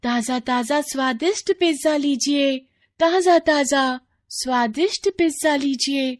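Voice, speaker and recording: A woman's voice: sits high, measured pace, pitched above the performer's own voice. A woman voicing a poor, hard-working orphan girl in a cartoon story, earnest and pleading. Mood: excited. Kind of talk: Hindi moral cartoon story acting.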